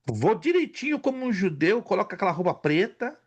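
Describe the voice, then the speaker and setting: A man's voice: sits high, a little fast, breathy edge. A male theology teacher in a casual class conversation, telling stories with animation and listing facts. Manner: storytelling, animated, lists facts.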